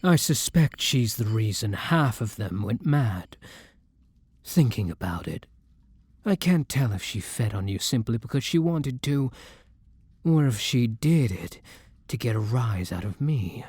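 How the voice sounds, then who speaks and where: A man playing a gentle, tormented vampire in an intimate ASMR roleplay, speaking in a breathy hush with halting, dramatic pauses. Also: medium pitch, animated, no echo, studio quality. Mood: quiet anger. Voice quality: breathy, hushed, dropping into whispers. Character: tormented, gentle male vampire torn between hunger and compassion.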